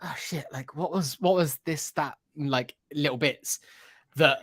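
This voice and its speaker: A man's voice: fairly high pitch, unhurried. A man talking casually as a podcast panelist, with an enthusiastic, rambling way of discussing what he has been listening to. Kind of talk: casual chat about a radio drama.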